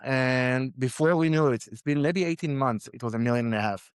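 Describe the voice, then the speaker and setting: A man from Israel, a founder guest in an interview, telling animated anecdotes with fillers and repeated words. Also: mid-range voice, brisk, clear, resonant tone, dry recording, studio quality. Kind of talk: conversational storytelling.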